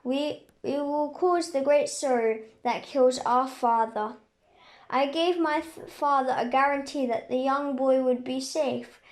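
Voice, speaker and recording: An anguished, pleading brother in an amateur Bible drama, read by a woman. The voice is very high and the delivery halting, with small stumbles as the plea goes on. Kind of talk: dramatic scripture reading.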